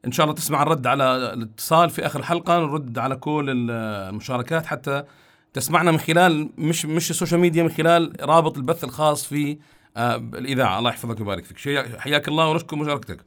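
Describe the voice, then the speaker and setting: A man hosting a call-in radio show, friendly and hurried, repeating his greetings to callers. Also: fairly high pitch, fairly bright tone. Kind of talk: casual call-in radio talk.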